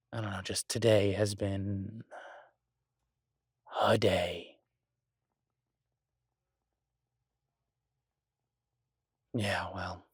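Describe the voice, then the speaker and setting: A man playing an exasperated restaurant chef venting to his partner in a halting, grumbling audio roleplay. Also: on the low side, husky, no echo, studio quality, full character voice. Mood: resigned. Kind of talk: boyfriend audio roleplay, conversational monologue.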